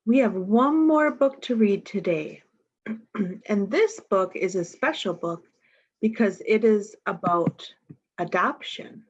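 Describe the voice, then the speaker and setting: A woman reads picture books to little children at an unhurried pace. She speaks straight to her young listeners with simple questions. The audio is dull and slightly muffled. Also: mid-range voice, lively intonation, husky. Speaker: storytime reader.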